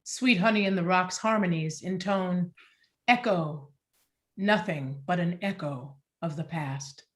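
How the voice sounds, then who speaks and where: A woman giving a deliberate, expressive scripted narration as she reads out stage directions for a play. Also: lowish voice. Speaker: playwright reading stage directions.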